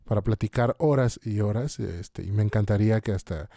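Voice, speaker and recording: A man hosts a podcast in a fairly low, soft-edged, mellow voice. He puts questions to his guest in a casual, conversational way. The recording is dry and close. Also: fairly quick, vocal fry, no room sound. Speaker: podcast host.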